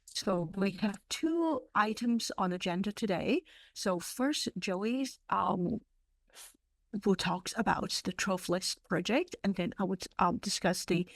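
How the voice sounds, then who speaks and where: A woman whose first language is Cantonese, presenting a library webinar in a measured way with frequent fillers. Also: mid-range voice, dry recording. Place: online webinar.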